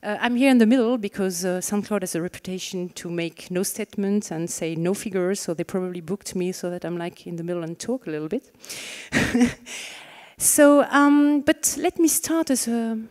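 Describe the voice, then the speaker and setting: A woman in her thirties with a French accent hosts a stage chat, speaking into a handheld microphone in a reverberant conference hall. She talks in a chatty, joking way, full of fillers and restarts, and her voice sits at a middling pitch. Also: moderate pace, darkish tone. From France. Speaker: moderator. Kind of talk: stage fireside chat moderation.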